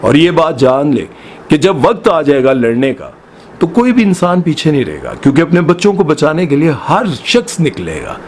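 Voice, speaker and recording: A man's voice: a little high, slight background noise. A man from Pakistan, giving opinionated news commentary and firing off rhetorical questions with passion. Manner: impassioned, full of rhetorical questions.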